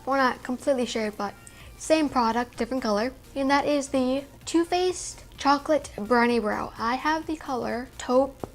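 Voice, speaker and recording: A woman's voice: high-pitched, moderate pace. A young woman casually and enthusiastically reviewing her favorite skincare and makeup products at home. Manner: enthusiastic, gushing about products. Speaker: beauty vlogger, daughter. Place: indoor home room with string lights.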